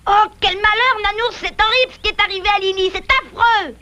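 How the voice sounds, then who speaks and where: A woman voicing a young male beaver in a cartoon, plucky, playful, excitable and feisty. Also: extremely high pitch, fairly quick. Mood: distressed, urgent. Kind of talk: French-language cartoon dubbing. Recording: old VHS cartoon soundtrack.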